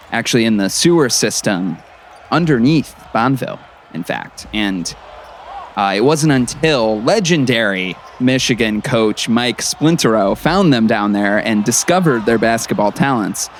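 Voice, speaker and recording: A man's voice: on the low side, expressive, unhurried. A man playing an earnest small-town radio play-by-play announcer in a comedy sports broadcast. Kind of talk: sports commentary in audio comedy. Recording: crowd and gym noise behind. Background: crowd, bouncing basketball.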